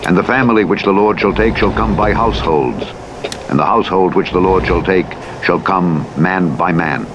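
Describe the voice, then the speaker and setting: A man narrating the Bible in a solemn, measured, formal audiobook style. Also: lo-fi sound. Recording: loud vehicle engine noise throughout. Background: rumbling truck engine from a driving simulator.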